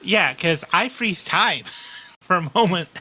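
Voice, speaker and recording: A man talking as a player in a tabletop roleplaying game, explaining his move in a halting, thinking-aloud way. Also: high-pitched, animated, bright, forceful tone, slightly rough.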